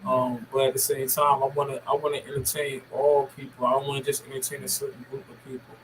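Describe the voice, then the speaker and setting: An American woman from Camden with a deep voice, chatting casually as an interview guest in a bossy, assertive manner with heavy swearing. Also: little pitch movement, fast talker, noisy background. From Camden, United States. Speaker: podcast guest, music artist.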